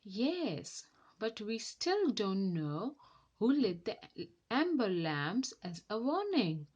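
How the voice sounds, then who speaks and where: A woman's voice: medium pitch, very animated, slow, darkish tone. A woman voicing a cautious, practical male engine driver in a children's storybook, with a careful, matter-of-fact manner. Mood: pondering.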